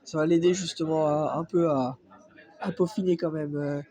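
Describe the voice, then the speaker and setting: A man's voice: a little high, steady tone, moderate pace, dark tone. A man with a cold, talking to his phone in a casual, hesitant vlog full of fillers and restarts. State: has a cold. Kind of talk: casual reaction vlog monologue. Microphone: phone not held, off-camera.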